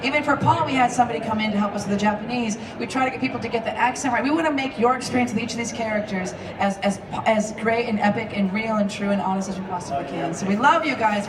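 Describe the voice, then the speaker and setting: A woman voice director moderating a convention panel, brisk and enthusiastic. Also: on the high side, background noise. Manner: brisk, enthusiastic, peppers talk with quick agreement. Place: large convention hall stage. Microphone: heard through a phone from far back in the audience. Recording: distant, echoey phone recording in a crowd.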